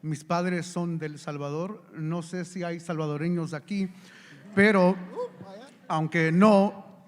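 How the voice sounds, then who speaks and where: A man from the United States, speaking haltingly to an audience as a church elder. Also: fairly high pitch, steady tone. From Chicago, United States. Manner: halting, searching for words. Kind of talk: casual self-introduction to an audience. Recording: reverberant hall sound.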